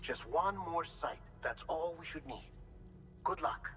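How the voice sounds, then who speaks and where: Game role: precise, caring male technician, bright voice, formal briefings, light music bed. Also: a little high, lively intonation, measured pace. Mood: reassuring, businesslike. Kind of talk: video game radio briefing.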